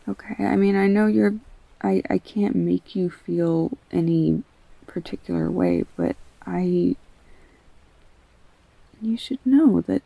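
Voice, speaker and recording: A woman playing a tender, reassuring girlfriend in an intimate audio roleplay, speaking haltingly and softly with a breathy, husky voice. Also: medium pitch, no room sound, heavily acted, poor audio. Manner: soft, halting, soothing, often near whispering. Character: tender, reassuring girlfriend comforting an upset partner.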